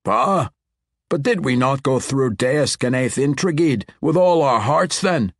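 A man voicing a boisterous, gruff male wild dwarf leader with a hearty, folksy brogue. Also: mid-range voice, lively intonation, normal speed, no room sound, pitched above the performer's own voice, natural voice. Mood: indignant. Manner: hearty, folksy dwarven brogue, loud calls.

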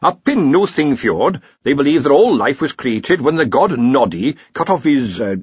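A man's voice: mid-range voice, sing-song, unhurried, breathy edge, dry recording, dull audio, voice pushed up. A man voicing a scholarly senior male wizard who muses ponderously and shares his learning, in an audiobook. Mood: musing. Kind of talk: audiobook character voice.

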